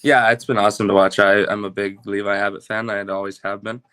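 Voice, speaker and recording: A man's voice: lowish voice, little pitch movement, quick pace, fairly bright tone, smooth, no echo. A young man speaking as a baseball coach in a casual interview.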